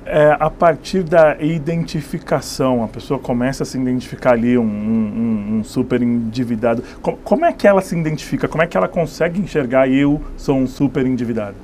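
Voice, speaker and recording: A man of medium pitch hosts a studio interview show. He asks leading questions and recaps what his guest says, with faint noise underneath. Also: animated, brisk, hardly any pauses, darkish tone. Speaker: TV show host.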